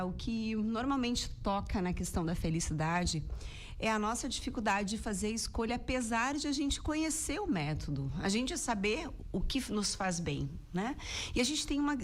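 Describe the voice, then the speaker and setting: A Brazilian woman speaks as a guest in a radio studio while fighting a cold. She talks in a casual, reflective way, often checking in with little questioning tags. Faint noise hangs under her voice. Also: on the high side. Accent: Brazilian Portuguese. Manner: warm, reflective, frequent "né?" tags. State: has a cold. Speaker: radio guest commentator on happiness.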